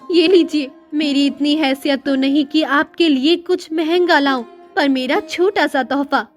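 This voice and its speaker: A humble poor sister from a cartoon fable, acted by a woman, with a high voice. She is earnest, pleading and devoted, over faint music. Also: fairly even intonation, a little slow. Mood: meek, hurt. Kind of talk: Hindi moral-story cartoon dialogue.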